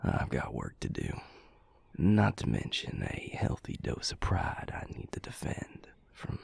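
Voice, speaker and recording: A man acting a grumbling but fond male vampire in an intimate audio roleplay, dry, sardonic and husky. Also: big pitch swings, no room sound, whispered, full character voice. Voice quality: low, gravelly, husky. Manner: dry, sardonic, slow and profane.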